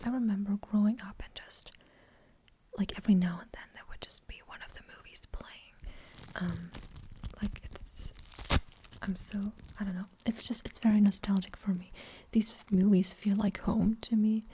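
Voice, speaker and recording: Soft whispering young German woman. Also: mid-range voice, steady tone, unhurried, a little hiss or hum. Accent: light German. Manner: rambling, hesitant, many fillers. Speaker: ASMR creator. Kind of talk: whispered ASMR Q&A chat.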